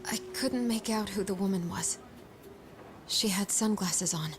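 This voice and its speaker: A woman's voice: medium pitch, unhurried, a little hiss or hum, lightly acted. An actress playing a timid, polite and uneasy woman in an English video game dub, speaking hesitantly. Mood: guilty, uneasy.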